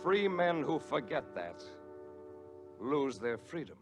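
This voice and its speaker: A man's voice: on the high side, very animated, takes it slowly, exaggerated voice. A man playing a solemn, stately orator in a scripted reading. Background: instrumental music.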